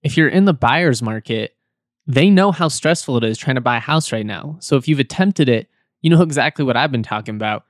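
Slightly hoarse chatty podcast host. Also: male voice, medium pitch, expressive, fairly quick, dry recording, studio quality. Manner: chatty, repeats words, laughs now and then. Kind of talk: casual sports podcast talk.

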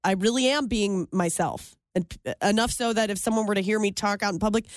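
A woman radio host chats casually into a studio microphone. She talks in a relaxed, self-deprecating way and laughs easily. The sound is close and dry. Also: mid-range voice, brisk, no echo. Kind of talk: casual podcast chat.

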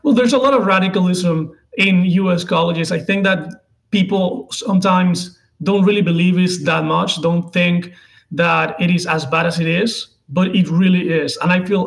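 A man in his twenties with a Venezuelan accent, a native Spanish speaker, answering interview questions haltingly as he searches for words. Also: sits high, creaky. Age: 20s. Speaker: interview guest, political contributor.

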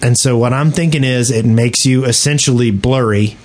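A man's voice: medium pitch, normal speed, a little mellow. Man in casual podcast discussion. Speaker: podcast co-host. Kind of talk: casual speculative discussion of a video game update.

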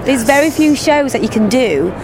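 A woman's voice: sits high, lively intonation, moderate pace. A woman in her thirties with an English regional accent, chatting enthusiastically as a guest in a casual convention interview, often restarting her sentences. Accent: English regional, not London. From England. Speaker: guest actress. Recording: clear traffic noise outdoors.

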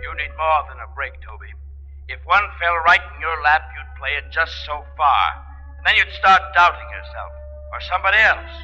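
A man's voice: on the high side, animated, moderate pace, bright, forceful tone, soft background music. An actor playing a blunt man who speaks in a pointed, accusing way in a 1940s radio drama. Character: blunt, scolding man judging a doubter. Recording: dull old radio recording.

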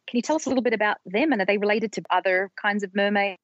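A woman's voice: medium pitch, racing, no echo, dull audio. A woman co-hosting a podcast, curious and asking the guest questions. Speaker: podcast co-host. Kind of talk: casual interview chat.

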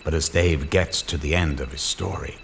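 An actor playing the narrator of a horror radio tale, telling it in a theatrical, measured way. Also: male voice, extremely low pitch, gravelly, a bit bright, a little hiss or hum, exaggerated voice. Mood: ominous, wry. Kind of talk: scripted dramatic narration. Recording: long hall reverb, faint music.

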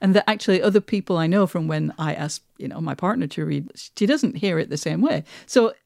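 A woman's voice: lowish voice, lively intonation, fairly quick, vocal fry, darkish tone, no room sound, studio quality. A woman co-hosting a podcast, speaking casually and reflectively in a candid, self-deprecating way. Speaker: podcast co-host and writer.

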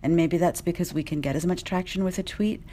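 Low-voiced woman journalist on a panel. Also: fairly quick, dark tone. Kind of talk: panel discussion.

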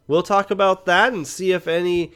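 A man's voice: high voice, steady tone, normal speed, a bit bright, clean tone. A man hosting a film review podcast in casual conversation, often checking in with short questions. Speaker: podcast host.

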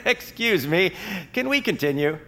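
A man playing an exasperated, flustered radio game show host in an audio comedy, sarcastic and theatrical, with a husky, strained voice. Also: sits high, sing-song, measured pace, pushed and bright, full character voice.

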